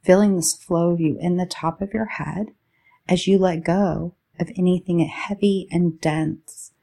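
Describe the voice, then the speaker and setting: A woman leading a guided meditation, speaking soothingly in short, drawn-out phrases with a soft-edged, creaky voice. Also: fairly low pitch, steady tone.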